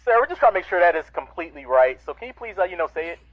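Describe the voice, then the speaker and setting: A man's voice: high-pitched, sing-song, very fast, no echo, phone-quality sound. A man playing a shifty, bumbling phone scammer in a comedy skit, hesitant and falsely polite. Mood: evasive.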